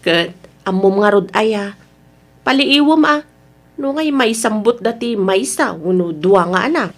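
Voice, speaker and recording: A woman's voice: medium pitch, animated, unhurried. A woman with an Ilocano accent acting a sensible, chiding wife in a family radio drama.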